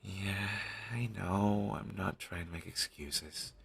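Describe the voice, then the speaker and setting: A man playing a caring, teasing talent manager, coaxing a troubled actor in a one-sided audio roleplay with a low-quality sound. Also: slow, gravelly, fairly bright tone, breathy edge, full character voice. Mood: apologetic, earnest. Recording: low-quality amateur recording.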